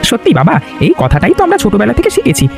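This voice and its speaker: A man's voice: very high-pitched, wildly swooping pitch, racing, gravelly, heavily acted. A man playing a kindly, approving older village uncle in cartoon dialogue. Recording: faint music behind the voice.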